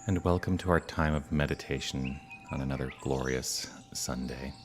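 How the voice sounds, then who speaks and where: Man, very deep voice, calm minister, guided meditation, soothing pauses, faint birdsong and ambient noise.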